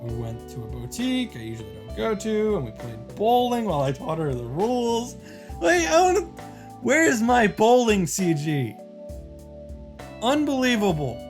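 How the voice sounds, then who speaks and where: Man narrating a game over music. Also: high voice. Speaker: visual novel streamer and narrator. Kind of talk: reading game text aloud with reactions and summary commentary.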